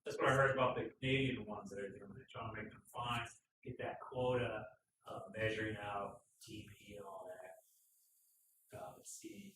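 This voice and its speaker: A man co-hosting a casual motorcycle live stream, joking and teasing with loud bursts. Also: lowish voice, lively intonation, normal speed, noisy background. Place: garage. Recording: echoey garage, far from mic.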